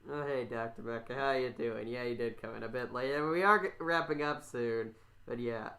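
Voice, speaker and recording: A man chats as the host of a casual podcast. His voice sits at a middle pitch. He rambles in a halting way, circling back and repeating phrases as he goes. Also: sharp tone.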